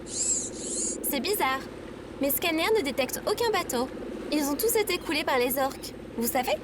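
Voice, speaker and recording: A woman's voice: sits high, huge pitch swings, moderate pace, heavy background noise. A woman playing an earnest, curious and naive female AI droid in an audio drama. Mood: eager, curious.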